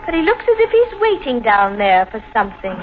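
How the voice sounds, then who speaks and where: High-voiced anxious wife, old radio. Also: female voice, big pitch swings, fairly quick. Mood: worried, fretful. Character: anxious, devoted wife of a troubled man. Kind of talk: 1950s radio drama acting. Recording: muffled, noisy old radio recording.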